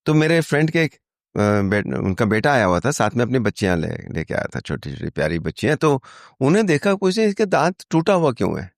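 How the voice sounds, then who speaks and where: An older man from India speaks with an Indian accent as a podcast guest, switching between Hindi and English. He explains things conversationally, with anecdotes and asides. The sound is dry and close, with a middling pitch. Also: sing-song, brisk, vocal fry, dry recording.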